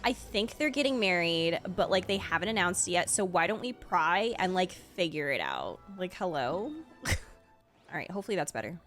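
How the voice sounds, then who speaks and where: A young woman giving casual, slangy reaction commentary as a game streamer, excitable and giggly. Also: fairly low pitch, normal speed. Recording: game music behind.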